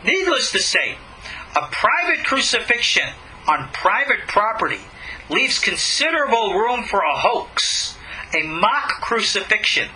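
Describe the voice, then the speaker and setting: A man reading aloud a religious lecture in an emphatic, dramatic, deliberately phrased way. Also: high-pitched, big pitch swings, bright, forceful tone, a little hiss or hum, poor audio. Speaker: rabbi giving a religious lecture.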